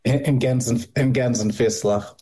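A man interviewing, asking questions slowly while groping for words. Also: medium pitch, steady tone, mellow, breathy edge. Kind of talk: conversational interview.

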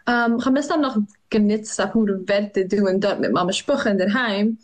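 A young woman, answering interview questions in a halting way, with many fillers and restarts. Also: mid-range voice. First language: Yiddish. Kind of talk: hesitant conversational interview.

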